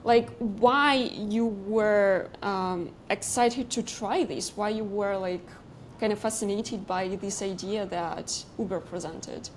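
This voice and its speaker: Woman interviewer, mid-pitched voice, curious questions, recaps answers. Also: takes it slowly, slight background noise. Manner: curious, sums up the guest's points.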